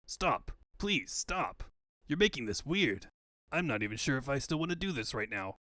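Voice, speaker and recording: A man's voice: medium pitch, sing-song, normal speed, sharp tone, dry recording, pitched below the performer's own voice. A grandiose male mad scientist from a cartoon fandub, acted out by a man. He talks in a theatrical, pompous way and is easily exasperated. The sound is dry and close. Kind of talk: comic book fandub.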